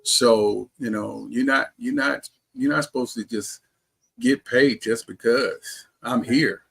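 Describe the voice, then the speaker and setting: A man with a creaky, husky voice talks as an interview guest. His delivery is casual and conversational, like someone telling his own story at mid pitch. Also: fairly even intonation, slow, a bit bright.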